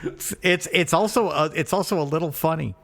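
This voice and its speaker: A man's voice: on the high side, a little fast, creaky, a crowd in the background. A man co-hosting a podcast, chatting casually with a dry, teasing manner. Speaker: podcast co-host. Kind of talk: casual conversational chat.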